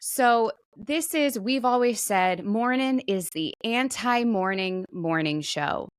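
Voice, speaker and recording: A woman co-hosting a podcast, running the show in teasing, deadpan banter. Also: on the high side, slow, clear, resonant tone, no room sound, studio quality. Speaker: podcast co-host. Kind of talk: casual banter.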